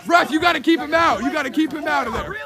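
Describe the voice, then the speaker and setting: A man giving excited live wrestling play-by-play, foul-mouthed, outraged and openly partisan. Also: very high-pitched, quick pace. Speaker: wrestling commentator. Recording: loud crowd around the voice. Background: shouting crowd at a live wrestling match.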